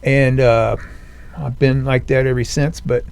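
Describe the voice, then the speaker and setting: An older man speaking as an interview guest, telling his own story in a plainspoken, rambling way full of fillers, his voice high and strained since surgery. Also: flat delivery, unhurried, gravelly, a little mellow, smooth. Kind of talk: conversational personal story. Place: veteran center studio.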